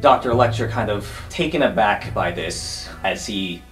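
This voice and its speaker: A man's voice: fairly low pitch, animated, a little slow, a bit bright, faint music behind. A man as game master on a casual roleplay podcast, explaining the rules and the scene.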